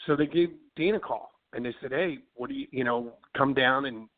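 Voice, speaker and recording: A rock singer with a Philly accent talks loosely, piling up fillers and restarts. His voice sits at a middling pitch. The sound comes through a thin, tinny phone line. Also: normal speed. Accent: Philadelphia. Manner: rambling, many fillers and restarts, says "you know what I mean". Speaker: rock band singer, interview guest. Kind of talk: casual interview chat.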